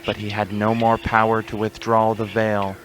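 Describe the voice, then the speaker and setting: A man's voice: lowish voice, completely flat delivery, normal speed, background noise. A man narrating a scripted radio play in a solemn, literary storytelling manner. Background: music.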